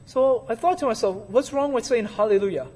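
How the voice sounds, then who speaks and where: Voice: mid-range voice, fairly quick, a little mellow, breathy edge. An interpreter rendering a Chinese sermon into English line by line, hesitant at times. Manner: hesitant, with fillers and restarts while translating.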